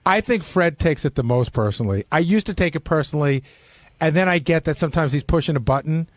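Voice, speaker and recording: A man's voice: a little high, lively intonation, fast talker, no echo. A man who is a radio show regular, chatting casually and conversationally.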